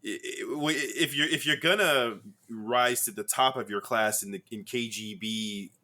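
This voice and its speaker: A man's voice: mid-range voice, a little slow, bright, forceful tone. A man co-hosting a podcast in casual chat, rambling, stumbling over his words and laughing easily. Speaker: podcast co-host. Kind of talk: casual film-discussion chat.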